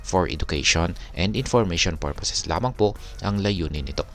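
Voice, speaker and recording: A Filipino man hosting an explanatory video, speaking in a polite, measured, formal way. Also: low voice, animated, a bit bright. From Philippines. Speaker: crypto video host. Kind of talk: explanatory narration over charts.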